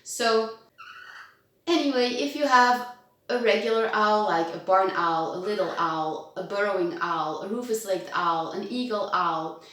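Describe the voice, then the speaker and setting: A woman's voice: mid-range voice, unhurried, fairly bright tone. A woman in her thirties from Belgium, chatting casually through a home tutorial vlog, bubbly and talking to her pet owl and viewers. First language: Dutch. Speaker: owl-keeping vlogger. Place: home kitchen, indoors. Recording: very echoey room.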